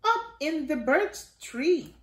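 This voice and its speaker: Woman voicing a playful high-pitched little bear cub. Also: big pitch swings, slow pace, exaggerated voice. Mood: playful, eager. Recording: roomy home echo.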